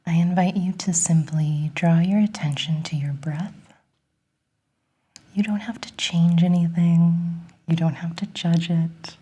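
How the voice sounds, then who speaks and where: A woman whispering a guided relaxation in a gentle, soothing, breathy ASMR style, encouraging the listener. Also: lowish voice, fairly even intonation, a little slow.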